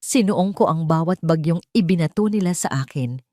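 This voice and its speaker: A woman's voice: low voice, expressive, moderate pace, mellow, dry recording, studio quality. A woman reading a dramatic first-person story as an audio narrator.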